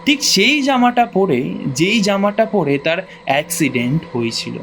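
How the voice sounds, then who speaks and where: A man's voice: high-pitched, very animated, normal speed, a bit bright, slight background noise. A man narrating a first-person ghost story from a script in a suspenseful, dramatic storytelling manner.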